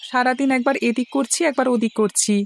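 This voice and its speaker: A woman's voice: sits high, fairly even intonation, fast, slight background noise. A woman voicing a chatty, curious schoolboy pupil in a cartoon, eager and full of complaints. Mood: curious, complaining. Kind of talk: Bengali cartoon dubbing.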